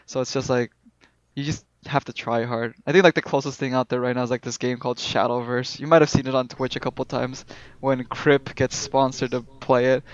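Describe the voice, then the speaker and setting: A man's voice: mid-range voice, level tone, brisk, no echo. A man chatting casually about games as a podcast guest, in loose phrasing padded with fillers, on dull lo-fi audio. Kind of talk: casual gaming chat.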